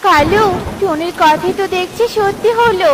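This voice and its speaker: A woman's voice: very high-pitched, moderate pace, noisy background. A woman voicing a haughty, greedy crow wife who orders others around in a children's cartoon. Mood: bossy.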